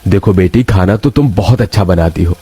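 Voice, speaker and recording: A man's voice: low-pitched, brisk, mellow, husky, pitched below the performer's own voice. A man voicing a caring, practical older father, reassuring and full of advice, in a cartoon story. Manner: reassuring, advising.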